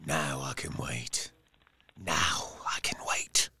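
An actor playing an eerily calm madman patient in an audio drama, muttering slowly in a hoarse, breathy whisper. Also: very deep voice, exaggerated voice. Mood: eerie patience. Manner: slow, repetitive muttering. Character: male madman patient biding his time, eerily calm.

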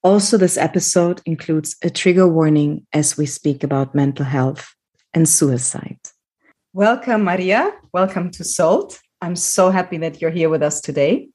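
Female podcast host, low register, husky edge, earnest and measured delivery. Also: dark tone. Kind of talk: podcast introduction and interview.

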